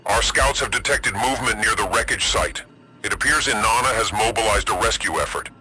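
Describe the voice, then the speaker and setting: A man's voice: lowish voice, brisk, pitched above the performer's own voice. A man voicing a dutiful military subordinate, deferential and slightly anxious, reporting through a radio comm filter. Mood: urgent. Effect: crackling radio comm filter.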